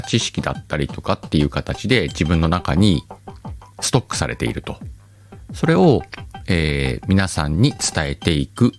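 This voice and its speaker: A very deep-voiced man with a lilt hosts his own radio-style show. His pitch swings widely as he muses aloud, teasing himself. Soft music plays faintly behind him. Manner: rambling, self-mocking, chuckles at himself. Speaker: podcast host. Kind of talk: casual solo radio-style talk.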